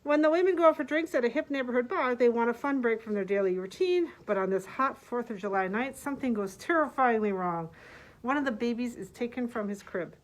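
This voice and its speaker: A woman's voice: a little high, fairly quick, poor audio. A woman librarian recommending books, partly reading their summaries aloud. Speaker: librarian presenting book picks.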